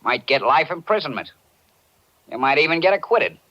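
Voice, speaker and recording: A man playing a confident, matter-of-fact police detective in 1940s radio acting style, brisk and knowing. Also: fairly high pitch, expressive, lightly acted. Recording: muffled 1940s radio recording.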